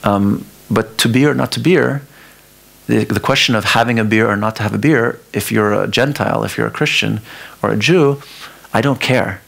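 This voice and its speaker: Man, low voice, gravelly, native farsi speaker, artist's museum talk, halting and restarting. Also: a bit bright. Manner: halting, restarts often, talks through ideas. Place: museum lecture hall.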